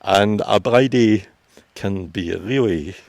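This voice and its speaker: A Scottish tour guide with a low-pitched voice talks visitors through a village churchyard outdoors. He explains the sights at an unhurried pace and fills his pauses with ums and uhs. The sound is dry, with little room tone. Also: a little mellow, no echo. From Scotland. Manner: informative, unhurried, with frequent fillers. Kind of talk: guided walking tour commentary.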